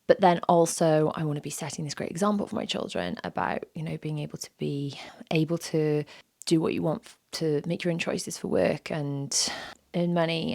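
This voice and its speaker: A woman, hosting a solo podcast with a croaky, sore-throated voice, candid and self-deprecating, repeating phrases for emphasis. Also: darkish tone, no echo. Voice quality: low and gravelly. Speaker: podcast host. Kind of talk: reflective solo podcast talk.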